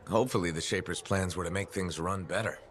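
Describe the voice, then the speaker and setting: An actor playing an easygoing freelancer hero in a video game, friendly, sympathetic and lightly wry. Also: male voice, sits low, quick pace, a bit bright, a little hiss or hum. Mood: curious, wry. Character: kindly, easygoing male freelancer player hero. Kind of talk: video game dialogue acting.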